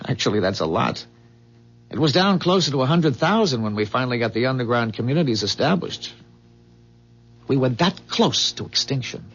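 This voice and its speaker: A dull, muffled old radio drama recording of a calm, solemn man from a doomed future. His husky, creaky voice explains things in measured phrases, with pitch that moves widely for emphasis. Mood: grave, matter-of-fact. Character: calm, earnest male visitor from a dying future. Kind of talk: 1970s radio drama acting.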